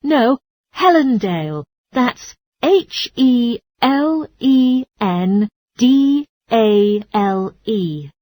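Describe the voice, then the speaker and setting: A woman's voice: on the high side, lively intonation, very slow, darkish tone, no room sound, clean studio sound. A woman playing a courteous, helpful transport information clerk in a scripted language-test dialogue.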